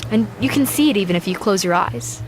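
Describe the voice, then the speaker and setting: An actress playing a dreamy, romantic female astronaut in an audio sci-fi drama, speaking in halting, wistful musings. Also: medium pitch, expressive, natural voice, poor audio. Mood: dreamy, tender. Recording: music behind the voice.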